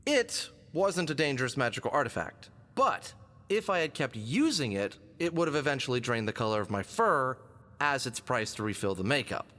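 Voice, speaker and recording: A man's voice: medium pitch, sing-song, normal speed, fairly bright tone. A man playing a confident, wisecracking fox adventurer in an audio drama, quippy and self-assured in his delivery. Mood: explaining. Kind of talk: scripted audio drama dialogue. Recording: long hall-like reverb.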